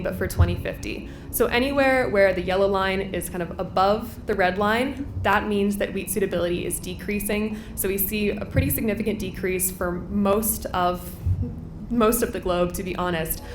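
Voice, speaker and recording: A woman wrapping up a conference presentation, explaining a graph in a relaxed, casual way. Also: mid-range voice, moderate pace, roomy sound, slight background noise.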